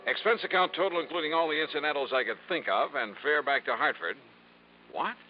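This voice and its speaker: A man's voice: on the high side, fairly quick, close to an everyday voice. An actor playing a wry, world-weary male insurance investigator in punchy 1950s detective radio style. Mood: wry narration. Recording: muffled, noisy vintage radio recording.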